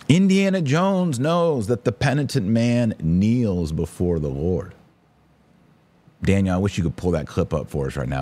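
Male podcast host, low-pitched voice. Informal, emphatic, opinionated religious talk delivered straight to listeners. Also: warm tone, husky. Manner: informal, emphatic, slangy, direct address. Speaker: online course teacher. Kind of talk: casual opinionated religious commentary to an audience.

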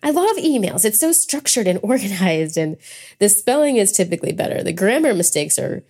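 A woman's voice: mid-range voice, big pitch swings, brisk, darkish tone, breathy edge. An American woman hosting a casual solo podcast, talking in a chatty, informal, rambling way. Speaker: podcast host.

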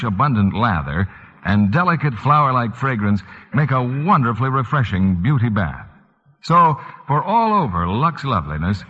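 A man announcing a 1940s radio sales pitch, with a husky voice and scripted polish. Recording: muffled, reverberant vintage radio broadcast.

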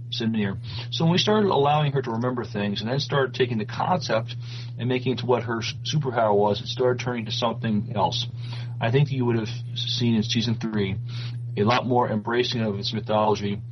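A man co-hosting a podcast, chatting and reading news in a halting way, stumbling over and repeating words. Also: medium pitch, slight background noise. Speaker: podcast co-host. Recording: slightly dull lo-fi audio.

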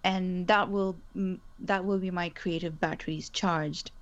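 A woman's voice: on the low side, steady tone, a little slow, highs cut off. A woman whose first language is Urdu, a guest in a casual interview, speaking thoughtfully with frequent restarts. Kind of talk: conversational interview.

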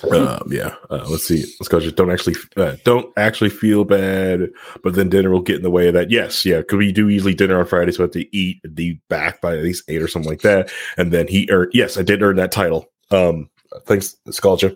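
Low-voiced man in casual podcast banter. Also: fairly even intonation, fairly quick. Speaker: podcast host.

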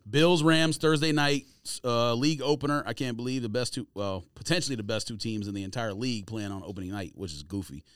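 A man's voice: mid-range voice, quick pace, few pauses, fairly bright tone, clean tone, no room sound, clean studio sound. A man hosting a casual sports podcast, running through games and picks in loose banter.

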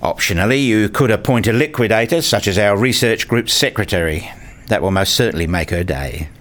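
A man playing an earnest, dryly sarcastic university researcher in a scripted radio play, with a deadpan, formal way of talking. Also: lowish voice, fairly quick. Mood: dry, teasing. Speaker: narrator and lead actor in a radio play. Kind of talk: first-person scripted radio play narration and dialogue.

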